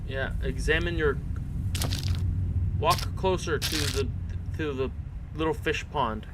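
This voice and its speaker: A man's voice: fairly high pitch, takes it slowly, heavy background noise. A man casually commentating on a live game stream in a sardonic, teasing way.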